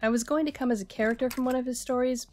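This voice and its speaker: A woman's voice: high voice, fairly even intonation, racing, darkish tone, faint music behind, close to an everyday voice. A woman playing a good-natured, bookish young partygoer in a naturalistic audio drama. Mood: amused.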